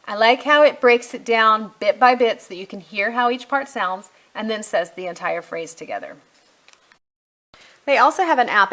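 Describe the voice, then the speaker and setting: A woman's voice: mid-range voice, fairly bright tone. A middle-aged woman giving a casual product walkthrough as a reviewer, conversational and thinking aloud as she goes. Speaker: homeschool curriculum reviewer.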